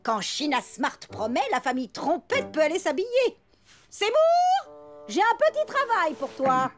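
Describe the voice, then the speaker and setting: A boastful cartoon mother who schemes behind sugary politeness. Her high voice swoops theatrically and pompously, with music playing clearly behind her. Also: big pitch swings, moderate pace. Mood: smug. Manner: pompous, theatrical, mock-sweet. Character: scheming, boastful, showy middle-aged mother, sugary rival. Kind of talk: French children's cartoon voice acting.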